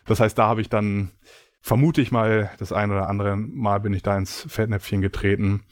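A man's voice: on the low side, level tone, normal speed, no echo, clean studio sound. A man giving reflective, explanatory answers in a casual conversational interview. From Germany. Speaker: interview guest.

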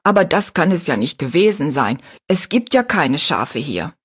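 A woman voicing a tiny, kind and wise old woman who speaks softly, slowly and in a hushed way. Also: deep voice, sing-song, slightly rough, exaggerated voice. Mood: gentle. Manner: soft, slow and hushed.

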